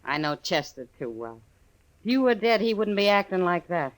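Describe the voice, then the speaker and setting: A woman's voice: lowish voice, sing-song, normal speed. An American actress playing a shrewd, self-assured frontier woman, wry and direct, in an old-time radio western. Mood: knowing, concerned.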